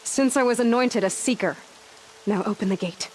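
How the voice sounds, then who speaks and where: A woman's voice: on the high side, moderate pace, darkish tone, slight background noise, natural voice, low-quality recording. A young woman voicing a determined outcast hunter in a game cutscene, asking direct, inquisitive questions. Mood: defiant. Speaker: game protagonist. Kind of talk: video game cutscene voice acting.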